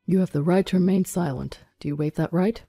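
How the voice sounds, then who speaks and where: A woman voicing a stern, commanding policeman in a firm, forceful manner for a dramatic story. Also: lowish voice, fairly quick, husky, no room sound, soft background music.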